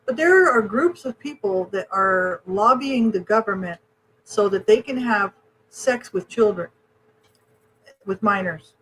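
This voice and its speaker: A woman's voice: mid-range voice, lively intonation, unhurried, a bit bright, slight background noise, rough recording. Chatty indignant woman podcast host. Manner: indignant, chatty, affirms often. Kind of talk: podcast conversation.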